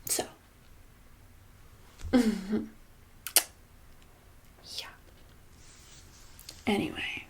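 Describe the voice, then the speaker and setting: Woman acting a slow, soft-spoken menacing lady boss. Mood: wry, self-pitying. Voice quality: soft, breathy, slipping into whispers. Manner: condescending, casually menacing, unhurried. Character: controlling, passive-aggressive female boss holding an employee hostage. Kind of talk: soft-spoken ASMR roleplay.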